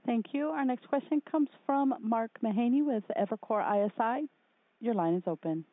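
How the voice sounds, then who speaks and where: A woman's voice: a little high, normal speed, no room sound. A woman working as a conference call operator, making formal scripted announcements. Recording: thin dry phone line.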